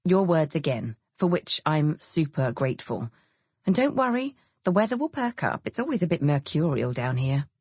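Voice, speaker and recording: A woman reader plays a cheerful divorced mother in an audiobook novel. She banters earnestly and gets a little flustered, self-deprecating throughout. Her voice is low and husky in a dry, close recording. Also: very animated, moderate pace, a little mellow, no echo, exaggerated voice. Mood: cheerful, reassuring. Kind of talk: audiobook character dialogue.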